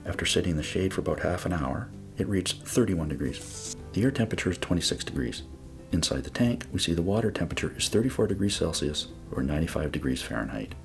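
A man narrates his own workshop project in a calm, methodical voice-over. His voice sits very low with a gravelly edge. Faint music plays quietly under the narration. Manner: measured, step-by-step explanatory delivery. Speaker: DIY builder narrating a project.

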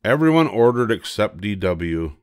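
Low-voiced older man reading a storybook. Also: very animated, moderate pace, no echo, clean studio sound. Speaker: storybook reader. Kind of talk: expressive children's book narration.

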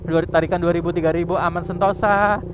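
A young man riding a motorcycle outdoors and chatting excitedly into his camera mic, casual and slangy. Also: sits high, fairly quick. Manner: excitable, slangy, chatty, bursts of loud enthusiasm. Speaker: motovlogger. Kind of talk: casual motorcycle test-ride vlog. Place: outdoors on a moving motorcycle on town streets. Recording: loud engine and traffic noise.

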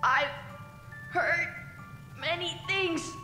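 An actress playing a mysterious fantasy game character with deliberate, drawn-out speech. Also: female voice, very high-pitched, full character voice. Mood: mysterious, slow. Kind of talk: video game cutscene acting. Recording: echoing hall with music behind.

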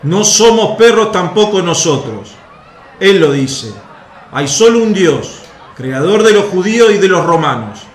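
A man's voice: sits high, very animated, a little slow, roomy sound, a little hiss or hum. A man playing an earnest Roman soldier. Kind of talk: radio drama acting.

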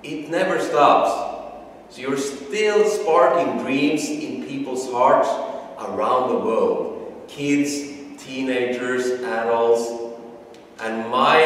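A man's voice: medium pitch, fairly bright tone, a little hiss or hum. A middle-aged man with a Norwegian accent, a martial arts instructor, giving an earnest, emotional video tribute with frequent restarts in a studio. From Norway. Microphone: camera at a distance. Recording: echoey room, far from mic.

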